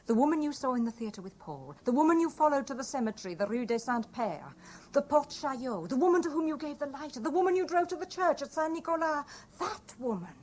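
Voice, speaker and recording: A woman's voice: high voice, darkish tone. An actress playing a mistress confessing her part in a murder plot, with theatrical 1970s radio drama delivery. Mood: anguished outburst. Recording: noisy old radio broadcast recording.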